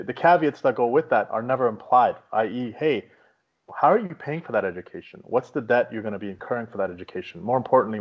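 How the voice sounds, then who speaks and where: A slightly muffled, lo-fi recording of a man hosting a podcast. His voice sits a little low and he talks quickly, laying out his points in a long, emphatic, conversational way. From Afghanistan. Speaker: podcast host. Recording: dull lo-fi audio.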